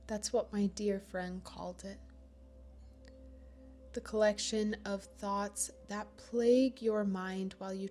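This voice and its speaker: A woman leading a guided meditation in a gentle, soothing manner, with pauses between phrases. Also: medium pitch. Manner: slow, gentle, soothing delivery with long pauses.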